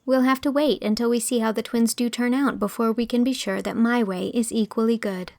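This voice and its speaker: A woman's voice: on the high side, steady tone, fairly quick, creaky, darkish tone, no echo. A woman voicing a kind, sensible young schoolteacher who sounds sincere and reassuring in an audiobook story. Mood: gently solemn. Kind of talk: dramatised audiobook acting.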